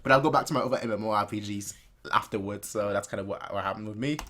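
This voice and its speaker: A man's voice: on the low side, fast, a crowd in the background. A man casually commenting as a reaction video host, repeating himself as he works out his thoughts.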